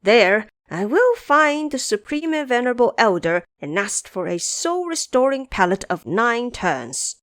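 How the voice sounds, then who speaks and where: A woman's voice: fairly high pitch, unhurried, hardly any pauses, no echo, clean studio sound, pitched above the performer's own voice. A woman doing a cocky, teasing monkey king. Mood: lively boastful. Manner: teasing, lively, bossy. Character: mischievous boastful male monkey king.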